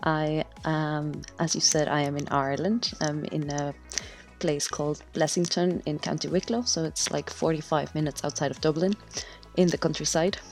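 Low-voiced woman. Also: flat delivery, moderate pace, soft background music. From Mexico. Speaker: podcast guest, natural textile dyer. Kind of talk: casual interview talk.

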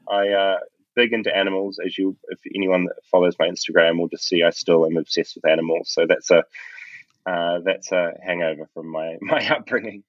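A very low-voiced man with a New Zealand accent talks warmly about his past in a casual interview. He is upbeat and pokes fun at himself. The audio is dull and lo-fi with a dead-dry sound. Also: dry recording. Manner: enthusiastic, self-deprecating. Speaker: guest, film composer.

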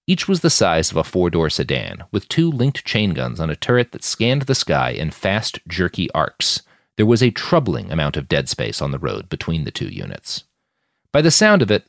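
A man's voice: low-pitched, no room sound, clean studio sound. A man reading scripted fiction as an audiobook-style podcast narrator.

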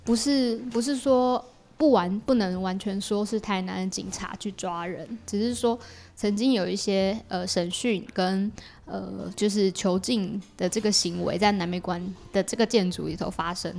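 A woman's voice: mid-range voice, moderate pace, gravelly, a little mellow. A woman with a Taiwanese Mandarin accent talks as an interview guest, explaining casually and thoughtfully, often qualifying and correcting herself.

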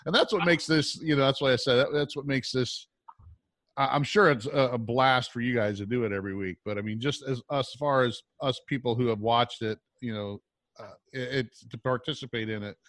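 A man's voice: mid-range voice, brisk, fairly bright tone, no room sound. A man acting as interviewer in a casual, conversational chat.

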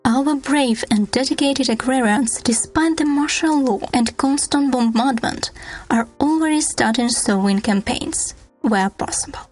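A woman reading a scripted news report in a measured, formal tone. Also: high voice, darkish tone, faint music behind. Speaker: news narrator.

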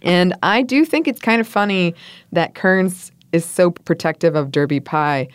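A woman co-hosting a food podcast in relaxed, chatty talk, also reading listener mail aloud. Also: lowish voice, measured pace, clear, resonant tone, no room sound, clean studio sound. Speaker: podcast co-host. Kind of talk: casual chat.

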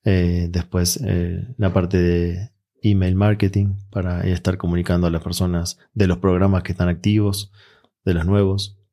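A man with an Argentine accent, a mental coach answering interview questions in a relaxed, reflective way. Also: low-pitched, normal speed. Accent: Argentine Spanish. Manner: relaxed, reflective, with frequent fillers.

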